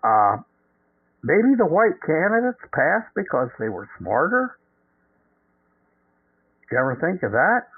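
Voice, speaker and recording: A hoarse man delivering indignant opinion commentary, reading news aloud and filling pauses with "uh". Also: on the high side, very animated. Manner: indignant, heavy "uh" fillers. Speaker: podcast commentator. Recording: tinny phone-like audio.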